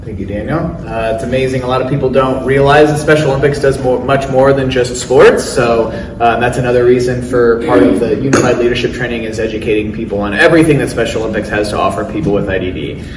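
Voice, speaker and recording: A man's voice: medium pitch, steady tone, fast, noisy background. Casual male moderator, distant and echoey. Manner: casual, filler-heavy, drops his voice. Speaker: panel moderator. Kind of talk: moderating a live panel. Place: large event hall. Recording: distant mic in a roomy hall.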